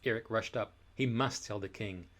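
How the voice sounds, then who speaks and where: Expressive man reading a children's storybook. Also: fairly low pitch, normal speed. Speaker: storybook reader. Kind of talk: dramatic scripted narration for children.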